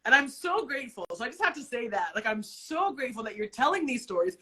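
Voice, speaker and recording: Interview host, woman from the US, bright tone, animated and chatty. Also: a little high, quick pace. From United States. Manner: animated, laughs while retelling stories. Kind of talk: conversational interview.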